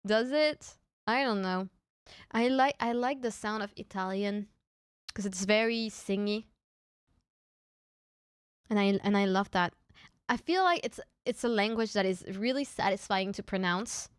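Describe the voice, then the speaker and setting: A woman's voice: mid-range voice, measured pace, long pauses, clean tone, no room sound, studio quality. A young woman from France, chatting casually with viewers on a live stream, often stammering and restarting her words with little giggles. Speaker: VTuber streamer.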